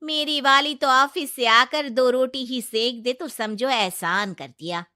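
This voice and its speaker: A woman puts on a middle-aged family friend for a cartoon story. The auntie is exclamatory and wry, with a high voice. The sound is very dry. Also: measured pace, sharp tone, clean tone, dry recording. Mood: impressed, wry. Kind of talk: Hindi cartoon voice acting.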